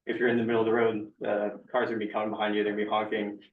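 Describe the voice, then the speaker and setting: A man with a low, steady, fairly flat voice giving an earnest public comment with many fillers. He sounds distant and muffled in a reverberant meeting room. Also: rapid-fire, slight background noise. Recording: echoey room, distant mic, dull sound.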